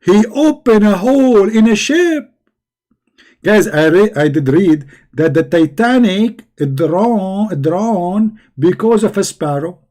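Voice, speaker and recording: A hoarse man who is losing his voice, giving a sarcastic, scornful commentary. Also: high voice, expressive, takes it slowly, warm tone. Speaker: religious critic. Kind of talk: mocking video commentary.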